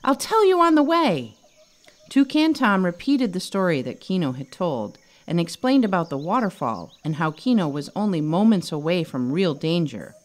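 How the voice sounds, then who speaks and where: A woman author reading her children's book aloud in an expressive storytelling style. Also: lowish voice, moderate pace, few pauses.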